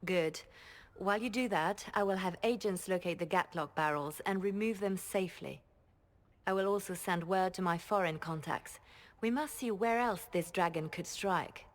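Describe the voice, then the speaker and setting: A woman's voice: on the low side, moderate pace, natural voice. A woman playing a composed, discreet and cunning spymaster trained as a bard in a video game. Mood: composed, calculating.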